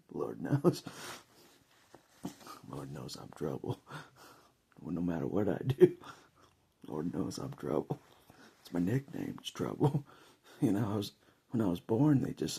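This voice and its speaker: An American man with a gravelly, husky voice, chatting casually and jokily in a rambling vlog monologue, often chuckling. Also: low voice, dark tone. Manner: chuckles often, jokey, conversational. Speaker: vlogger giving political opinion.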